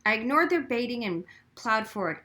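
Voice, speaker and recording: A woman narrating a story aloud as an audiobook reader, with expressive delivery. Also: on the high side, moderate pace.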